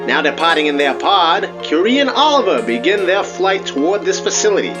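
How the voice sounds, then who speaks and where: A man's voice: high voice, sing-song, a little fast. A man playing a theatrical narrator of a space adventure, reading scripted lines in a dramatic, grandiose way. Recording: loud music behind.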